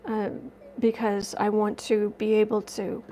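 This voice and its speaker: A woman hosting a casual livestream, chatty and rambling as she reads viewer comments, her voice slightly raspy from dust allergies. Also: mid-range voice, flat delivery, measured pace, warm tone, rough recording. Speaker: tarot and astrology livestream host.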